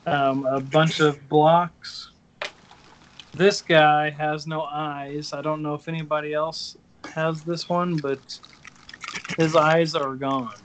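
A man's voice: on the high side, level tone, slow pace, a little hiss or hum, poor audio. A man in casual chat, rambling and hesitant as he shows off toys. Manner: rambling, hesitant, many fillers.

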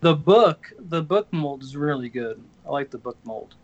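A man's voice: on the high side, lively intonation, moderate pace, highs cut off. A man in casual chat, rambling and hesitant as he shows off toys. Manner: rambling, hesitant, many fillers.

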